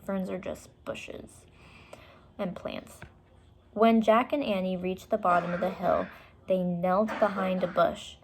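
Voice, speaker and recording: Woman, storyteller, medium pitch, children's book narration, explanatory asides. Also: fairly even intonation, takes it slowly, a little hiss or hum.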